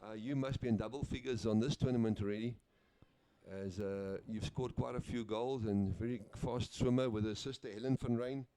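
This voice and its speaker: Guy doing sports commentary, laid back, lots of uhs, mellow voice. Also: medium pitch, fairly even intonation, moderate pace. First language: Afrikaans. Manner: easygoing, chatty, with frequent uhs and name slips. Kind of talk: live play-by-play commentary.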